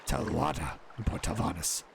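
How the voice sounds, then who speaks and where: A man voicing a proud dark elf townsman from a video game, giving a measured rundown in a deep, gravelly voice. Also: lively intonation, very noisy. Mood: informative. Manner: measured, informative recital. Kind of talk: voicing in-game dialogue in a let's play.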